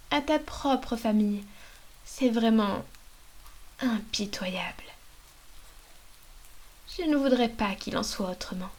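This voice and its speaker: A woman voicing a manipulative female vampire mistress in an ASMR roleplay, talking in a slow, coaxing, breathy whisper into a binaural microphone. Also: a little high, a little mellow. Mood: possessive. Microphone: binaural dummy-head mic.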